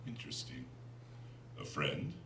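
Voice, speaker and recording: A man voicing a soft-spoken, sinister gentleman from a gothic story, falsely humble and coldly flattering, with an oversweet, chilly manner. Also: rumbling low, measured pace, voice pushed down, exaggerated voice. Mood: soft, probing. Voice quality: soft yet clear. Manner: unctuous, oversweet, turning chilly. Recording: roomy, distant, noisy recording.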